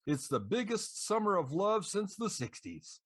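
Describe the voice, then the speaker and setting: Lively man, slightly high voice. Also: unhurried, breathy edge, no room sound, clean studio sound. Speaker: podcast host. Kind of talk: scripted host banter and introductions.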